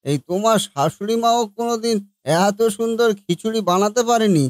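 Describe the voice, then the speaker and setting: Man playing a kindly, high-pitched old cartoon father-in-law. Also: a little fast, no room sound, clean studio sound, natural voice. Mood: approving, coaxing. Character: kindly, protective older father-in-law, coaxing and insistent. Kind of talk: Bengali family comedy cartoon dubbing.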